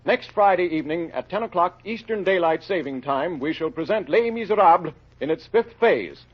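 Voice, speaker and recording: A man announcing formally in 1930s radio style, with a rough-edged, husky voice. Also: high voice, lively intonation, normal speed. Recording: muffled old radio broadcast.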